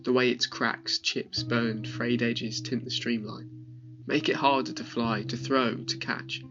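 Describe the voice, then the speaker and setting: Gravelly man reciting poetry over guitar. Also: medium pitch, flat delivery, lo-fi sound. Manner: measured, reflective recital. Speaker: poetry reader. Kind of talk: spoken word poetry reading. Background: soft guitar music.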